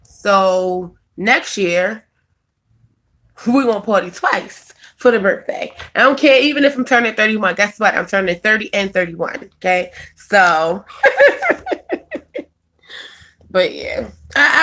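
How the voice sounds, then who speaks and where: A woman's voice: medium pitch, lively intonation, slow pace, pushed and bright. A woman, chatting casually on a live stream, answering viewers by name and laughing often. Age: 20s. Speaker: vlogger reading live chat.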